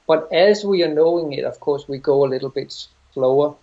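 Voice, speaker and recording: A middle-aged man with a Danish accent, answering interview questions as a yoga teacher, thoughtful and halting, often restarting phrases. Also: a little high. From Denmark. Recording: dull lo-fi sound.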